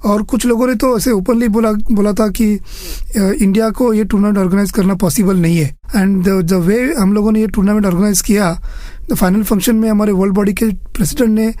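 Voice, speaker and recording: A man's voice: high-pitched, fairly even intonation, moderate pace, mellow, no room sound. A man giving earnest, methodical explanations as an interview guest, mixing in English phrases. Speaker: chess federation official interviewed as guest.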